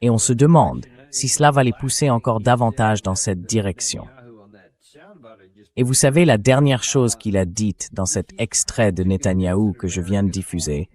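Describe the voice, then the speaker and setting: A man's voice: lowish voice, normal speed, a little mellow. A man's synthetic voice reading a translated voice-over as the host of a political discussion show.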